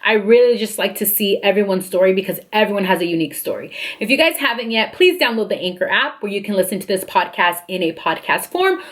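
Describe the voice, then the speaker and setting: A woman in her late twenties hosting a podcast, chatty and upbeat, quick to agree with her guest. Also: mid-range voice, lively intonation, a bit bright. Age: late 20s. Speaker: podcast host. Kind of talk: casual podcast chat.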